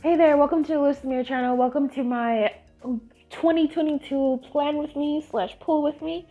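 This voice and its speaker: A woman's voice: high voice, moderate pace. Sniffly chatty woman over tinny music. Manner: chatty and rambling, with fillers and restarts. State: sniffly, congested voice. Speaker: channel host. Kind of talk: casual tutorial chat.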